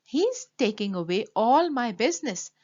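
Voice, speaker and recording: Dramatic small-town baker man read by a woman: grand declarations, higher register, animated, for a picture-book reading. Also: normal speed, dull audio. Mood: glum.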